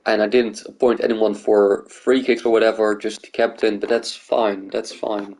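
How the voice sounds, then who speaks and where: A man's voice: on the low side, little pitch movement, normal speed, a little mellow. A man from the Netherlands and Greece, hosting a gaming video and thinking aloud in loose, rambling casual commentary.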